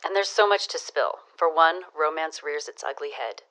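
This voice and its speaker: A low-pitched female gossip in a period audio drama. She is arch and knowing, dishing local romance news with sly, teasing relish. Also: normal speed, bright, forceful tone, poor audio. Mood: eager, teasing.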